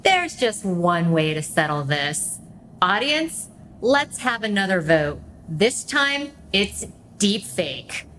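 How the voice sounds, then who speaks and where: A woman's voice: medium pitch, big pitch swings, slow, a bit bright, slight background noise. A woman playing a gushing, catty influencer guest in a radio play, showy and provocative. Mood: commanding. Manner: showy, self-promoting, provocative. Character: gushing, catty female Los Angeles influencer guest celebrity.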